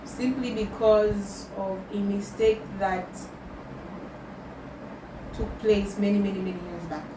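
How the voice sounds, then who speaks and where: A woman's voice: medium pitch, steady tone. Reflective young woman, distant roomy mic. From Tanzania. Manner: slow and thoughtful, mixing in Swahili words. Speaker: vlogger. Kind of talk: reflective personal vlog, answering questions. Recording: roomy sound from a distant mic with loud background noise.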